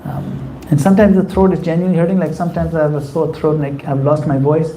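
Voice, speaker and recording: A man's voice: fairly high pitch, little pitch movement, fast talker, dark tone, a little hiss or hum, low-quality recording. A man giving a conversational temple class, acting out quoted voices as he explains. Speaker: spiritual teacher. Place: temple hall.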